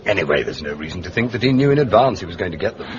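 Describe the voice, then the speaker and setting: Muffled, urbane gentleman detective. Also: sits low, sing-song, racing, a crowd in the background. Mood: dryly curious. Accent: British, upper-class English. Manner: polished, precise, drily understated. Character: urbane, shrewd gentleman detective, courteous and dryly wry. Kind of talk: British radio detective drama acting. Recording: muffled, dull radio drama recording.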